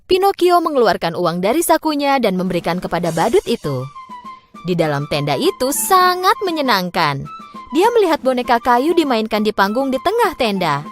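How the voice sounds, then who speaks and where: Female children's storyteller, high voice, very animated and expressive, light music underneath. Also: normal speed. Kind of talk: scripted children's fairy tale narration.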